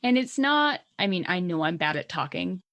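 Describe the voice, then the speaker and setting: A woman's voice: on the low side, sing-song, normal speed, fairly bright tone. A woman with a Canadian accent co-hosting a podcast in casual chat, giggly and self-deprecating. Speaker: podcast co-host.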